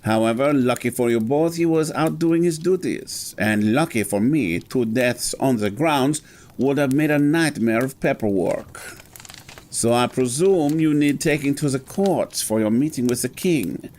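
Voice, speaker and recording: Guy doing brisk snooty 1700s french gentleman, formal n wry, middle pitch, audio drama. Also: lightly acted. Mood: wry, suspicious. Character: brisk, haughty 18th-century French gentleman in charge of an academy.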